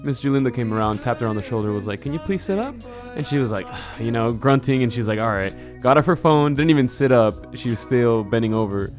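A young man chatting as a guest on a student podcast, telling lively stories and acting out quotes. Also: mid-range voice, fast, hardly any pauses, soft background music. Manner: lively storyteller, acts out quotes. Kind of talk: casual podcast chat.

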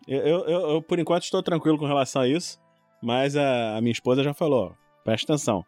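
A man in his forties with a Rio de Janeiro Brazilian accent, telling personal stories in an animated, informal way. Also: medium pitch, quick pace, no echo, soft background music. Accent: Rio de Janeiro Brazilian Portuguese. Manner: animated, informal storytelling with quoted exclamations. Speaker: podcast guest, longtime tabletop RPG player. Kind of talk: casual chat, personal storytelling.

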